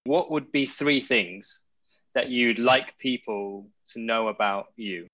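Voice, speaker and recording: A man's voice: medium pitch, slow, sharp tone, dull audio. A man hosting a podcast interview in a thoughtful, conversational way, choosing his words tentatively.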